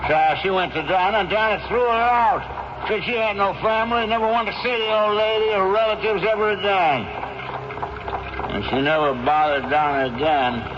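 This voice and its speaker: An actor playing a bitter, sardonic, middle-aged dead ex-convict in a radio drama, talking bluntly with rough street diction. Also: male voice, sits high, moderate pace, natural voice. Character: gruff, bitter dead ex-convict father, sardonic coach greeter. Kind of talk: 1970s radio drama acting. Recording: noisy muffled old radio broadcast.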